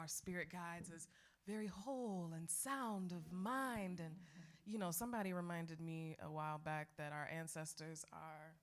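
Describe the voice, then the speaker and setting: Low-voiced woman reflecting in halting phrases. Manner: halting, searching, thoughtful phrasing. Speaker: actor in a post-show discussion. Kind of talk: reflective, unscripted panel talk.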